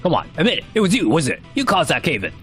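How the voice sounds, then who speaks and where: Man acting menacing captor, wildly swinging pitch. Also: huge pitch swings, quick pace, fairly bright tone, faint music behind. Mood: accusing. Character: menacing, accusing male captor guarding a mine. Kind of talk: narrated game cutscene voice acting.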